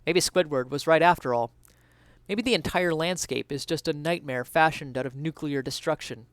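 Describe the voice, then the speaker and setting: A man reading an essay aloud as a dramatic reading, with earnest, theatrical, deliberate phrasing, recorded close to the mic. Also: on the high side, smooth, no echo. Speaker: reader of an essay.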